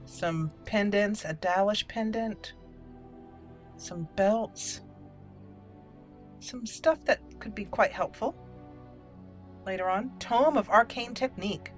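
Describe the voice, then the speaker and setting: A woman's voice: mid-range voice, takes it slowly, pauses often. Female let's play host, chatty, roleplay-minded commentary, game music underneath. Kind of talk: gameplay commentary with in-character roleplay lines.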